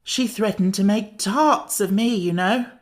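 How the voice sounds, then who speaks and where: A woman's voice: mid-range voice, unhurried, voice pushed up, lightly acted. A woman voicing an anxious, childlike pumpkin-headed man who whines about his fate. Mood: fearful. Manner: plaintive, whining.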